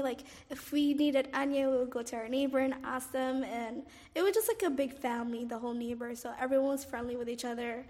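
A woman's voice: high voice, long reverb tail. A woman in her twenties, born in Somalia and raised in Cairo, being interviewed for an oral history and recalling her childhood with many "um"s and "like"s. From Somalia, raised in Cairo, Egypt. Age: 20s. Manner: hesitant, frequent "um" and "like", soft laughs. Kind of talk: casual personal recollection.

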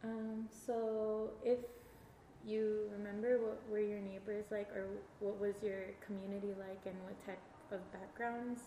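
A woman interviewer introducing a recorded conversation and putting prepared questions. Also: mid-range voice. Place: community center room. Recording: echoey room with clear background noise.

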